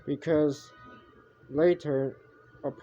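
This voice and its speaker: A man improvising homemade mock emergency broadcast announcements, haltingly, often repeating and correcting himself. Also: on the high side, fairly even intonation, mellow, faint music behind. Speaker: amateur narrator of a homemade emergency alert scenario. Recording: muffled homemade recording with background noise.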